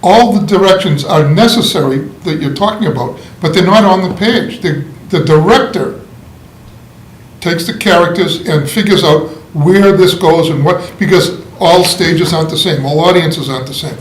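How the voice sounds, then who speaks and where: Argumentative man, fairly high voice. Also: moderate pace. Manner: argumentative, hesitant fillers. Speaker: writers' group member. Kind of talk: casual group discussion. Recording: echoey room, faint noise.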